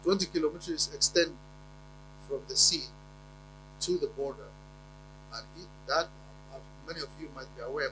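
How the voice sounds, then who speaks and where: A man's voice: mid-range voice, background noise. A man from Somalia who is not a native English speaker, giving an emphatic conference speech with frequent hesitations and repeated words. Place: conference hall.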